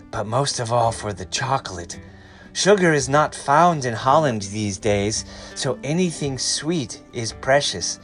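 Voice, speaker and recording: A man's voice: medium pitch, fairly bright tone. A man voicing an earnest, generous young girl in a storybook, speaking sincerely and carefully.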